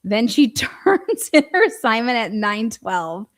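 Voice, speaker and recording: A woman's voice: a little high, sing-song, takes it slowly. Skeptical woman doing informal case commentary. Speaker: true-crime commentator. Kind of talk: informal case commentary, reading transcript aloud with asides.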